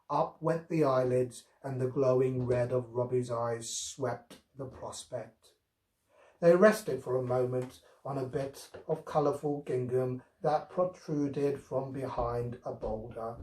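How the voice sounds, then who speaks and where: Slow-paced male storyteller in a roomy space. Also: mid-range voice, dark tone. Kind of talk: expressive scripted story narration. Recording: roomy, echoing sound.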